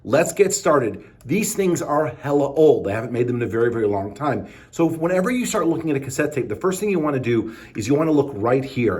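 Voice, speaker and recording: A man with a medium-pitched voice leads a how-to lesson in a casual, conversational way. He is chatty and playful, talking directly to the viewer as an instructor who likes to pose their questions for them. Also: a little mellow. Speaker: instructor presenting a how-to video.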